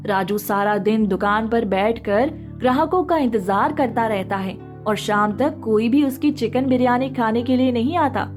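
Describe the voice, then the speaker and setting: A woman's voice: a little high, a little mellow. Woman narrating a story over music. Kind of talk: scripted narration of a moral story. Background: background music.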